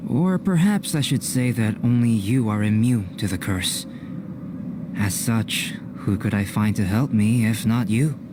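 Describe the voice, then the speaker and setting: Man playing a calm, precise young alchemist, background noise. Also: lowish voice, close to an everyday voice. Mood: measured, instructive. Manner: measured, formal, precise, unflappable. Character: calm, cerebral young male alchemist, politely enigmatic. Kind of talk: video game cutscene acting.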